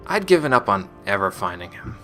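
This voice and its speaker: A very low-pitched, solemn space marine played by a man records a confessional sci-fi audio log. The delivery is measured and resolute, weighed down by guilt, with clear music playing behind it. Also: big pitch swings, bright, forceful tone. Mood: bitter, resolute. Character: resolute, guilt-burdened space marine recounting a gang attack.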